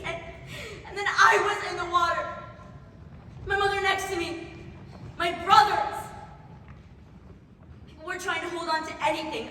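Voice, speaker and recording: Distraught young refugee survivor played by a woman, high-voiced and halting, stage monologue in a big echoing hall. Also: pushed and bright, heavily acted. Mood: anguished, desperate. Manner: halting, emotive, with heavy pauses and repetitions. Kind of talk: dramatic theatrical monologue. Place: large reverberant hall or stage. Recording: very echoey hall, distant mic, noisy.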